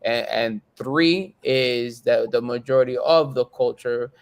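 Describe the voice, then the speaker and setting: A man's voice: medium pitch, unhurried, gravelly, clear, resonant tone. A man with a mid-range voice speaks on a panel. He counts off his points in a plain, direct way and slips in some Spanish now and then. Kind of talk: casual panel discussion.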